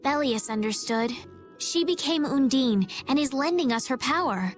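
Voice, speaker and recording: Game role: earnest young adventurer girl, high, lively swooping pitch, polite, faint music behind. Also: moderate pace. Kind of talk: video game cutscene acting.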